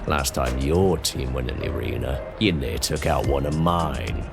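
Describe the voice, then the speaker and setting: A man voicing a gruff, brutish male pig-man who runs an arena, talking in coarse, mocking slang. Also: rumbling low, normal speed. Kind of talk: audio drama roleplay. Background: background music.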